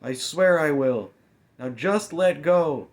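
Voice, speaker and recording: A man voicing a furious, menacing young female thief in an audiobook, snapping out forceful threats. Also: a little high, animated, slow pace, pitched above the performer's own voice. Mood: venomous anger. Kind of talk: audiobook character dialogue.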